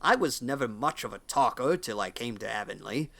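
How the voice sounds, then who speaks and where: An actor playing a cranky, outspoken older farmer in a storybook dramatization, grumbling in a blunt, folksy manner. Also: male voice, mid-range voice, expressive, brisk, gravelly, fairly bright tone. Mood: dry, gruff. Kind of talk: audiobook character acting.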